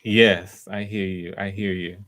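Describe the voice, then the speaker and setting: Male casting host, lowish pitch, casual, encouraging, live-stream talk. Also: little pitch movement, unhurried, a bit bright.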